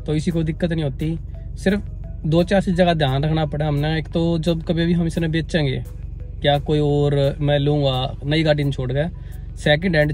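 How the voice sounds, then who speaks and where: A young man from India with a Haryanvi accent, slightly hoarse, chatting casually to the camera outdoors in the snow, explaining things to his viewers as brother. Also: on the high side, a little mellow. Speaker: vlogger. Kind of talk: casual vlog talk to camera. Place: outdoors in snowy weather by his car.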